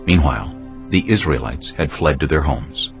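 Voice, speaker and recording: A man's voice: very deep voice, animated, moderate pace, muffled recording, music in the background. A man reading scripted Bible narration as a narrator.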